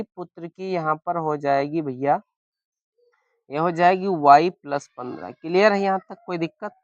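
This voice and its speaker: A man with a sore, hoarse throat teaching a maths lesson step by step, often asking if everyone is following. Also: fairly high pitch, dry recording, lo-fi sound. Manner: keeps asking "clear?" and "any problem?".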